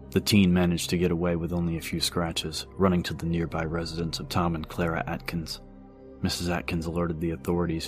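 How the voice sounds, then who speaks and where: Casting: male audiobook narrator, low and flat, measured newspaper-report reading over clear background music.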